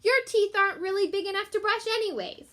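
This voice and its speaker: A woman voicing a smug male house cat from a children's storybook, with a sharp, needling, boastful manner. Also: extremely high pitch, quick pace, pitched above the performer's own voice. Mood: snide.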